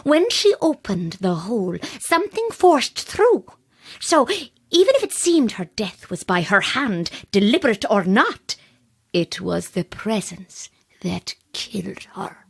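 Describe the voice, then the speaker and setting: An intense spirit medium, speaks in a husky voice. She goes very slowly with dramatic pauses and big swings of pitch, ominous and theatrical, in audio drama style. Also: sing-song, exaggerated voice.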